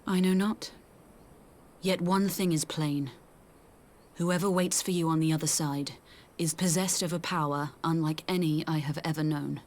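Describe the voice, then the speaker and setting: A woman voicing a gentle, solemn young seer in a video game, with measured, archaic phrasing. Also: sits low, steady tone, a little hiss or hum, natural voice. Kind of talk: scripted video game cutscene acting.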